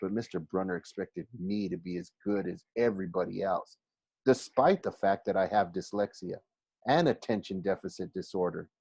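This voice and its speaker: A man reading a children's novel aloud like a teacher to a class, expressive but halting, often going back over phrases. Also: low-pitched, creaky, slightly rough, dry recording. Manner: halting, stumbles and rereads phrases.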